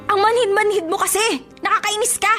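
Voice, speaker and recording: Radio drama role: lovesick, insecure young woman, high voice, emotional and pleading, music underneath. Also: fairly even intonation, brisk, bright, forceful tone. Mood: tearful, exasperated pleading. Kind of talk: Filipino radio drama acting.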